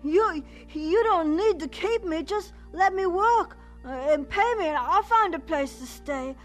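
A woman's voice: very high voice, lively intonation, a little slow, soft background music. A woman playing an earnest, polite young boy with a Southern US accent, pleading respectfully in a radio drama. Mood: eager pleading.

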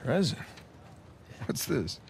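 A man's voice: slow, a little hiss or hum, close to an everyday voice. An actor in his thirties playing an easygoing, wry American salvage diver in a video game, joking casually. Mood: skeptical, amused. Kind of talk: naturalistic video game acting. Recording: game dialogue under loud engine ambience.

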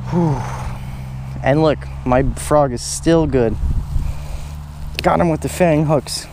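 A young man with a fairly high voice talks casually through a fishing vlog. His wording is slangy and informal. The sound comes from an action camera outdoors, with clear background noise. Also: slow pace, a little mellow. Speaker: fishing vlogger. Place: outdoors on grassy banks beside water.

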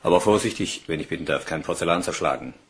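A man's voice: deep voice, fast, close to an everyday voice. A man playing a commanding police superintendent in a German crime radio play, speaking in a clipped, authoritative way. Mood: businesslike. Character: commanding, brisk male police superintendent giving orders.

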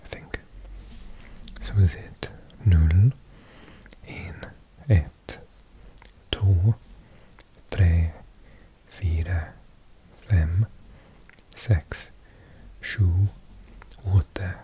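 Man whispering ASMR, slow and mellow, low-pitched, close to the mic. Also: slight background noise. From Wales. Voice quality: soft unvoiced whisper. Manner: slow, gentle, self-correcting learner. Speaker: ASMR creator. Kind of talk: whispered ASMR language reading. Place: indoors at a table.

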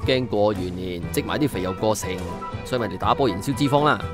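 A man's voice: fairly low pitch, fast, music in the background, lightly acted. An actor playing a good-natured, reasonable man near forty in a radio drama.

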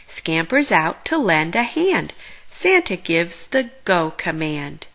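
A woman reading a children's picture book aloud, expressively, drawing out words with dramatic pauses. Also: lowish voice, sing-song, a bit bright. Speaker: children's story reader.

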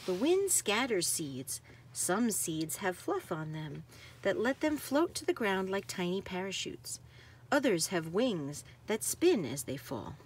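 A woman's voice: mid-range voice, husky. A woman reading a nonfiction picture book aloud to children like a teacher, stressing key words.

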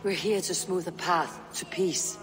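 An actor playing a steady, level-headed Viking warrior who speaks firmly. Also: male voice, sits high, a little slow, music in the background. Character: steady calm male Viking warrior, young adult.

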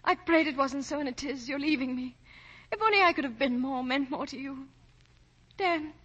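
Young romantic heroine: high-pitched, devoted and brave, vintage radio play with dull archive sound. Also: female voice, normal speed, a bit bright. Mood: sad. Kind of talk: 1940s radio acting. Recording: muffled 1940 radio broadcast with music.

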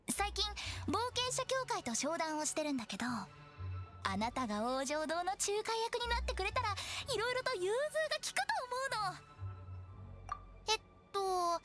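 An actress playing a playful, teasing young funeral director in an anime game, with theatrical, sing-song sales talk. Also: female voice, extremely high pitch. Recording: clean studio voice over game music.

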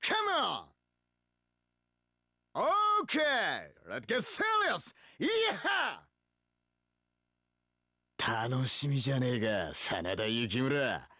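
A cocky young samurai warlord for a video game. He drawls slowly with rough swagger, swinging widely in pitch and tossing in English catchphrases. The sound is dry and close. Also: wildly swooping pitch, pushed and bright, no room sound, heavily acted. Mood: fired-up, then cool, confident. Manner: swaggering, rough tough-guy speech peppered with English catchphrases. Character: cocky, cool young male samurai warlord, swaggering one-eyed dragon. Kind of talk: video game battle voice lines.

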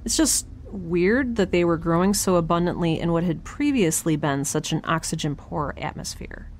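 Woman playing a low-voiced, chatty young space scientist. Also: moderate pace, slight background noise, close to an everyday voice. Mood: musing, wry. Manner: chatty, wry, self-interrupting, informal. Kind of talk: audio drama, first-person spoken log.

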